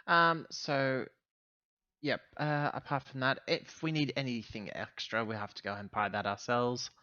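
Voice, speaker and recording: A man playing a roleplaying game, talking casually in character with halting, self-correcting explanations. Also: mid-range voice, fairly even intonation, bright, forceful tone, no room sound, muffled recording. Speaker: tabletop roleplaying player.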